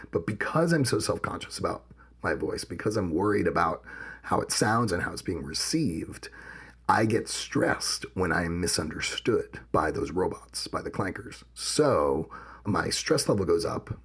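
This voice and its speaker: Gravelly deep-voiced man. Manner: personal, reflective, tells anecdotes. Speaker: podcast co-host. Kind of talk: casual conversation.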